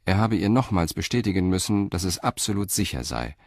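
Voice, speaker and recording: Very deep-voiced male narrator. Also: expressive, a little fast, no room sound, clean studio sound. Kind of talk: scripted narration of a radio crime drama.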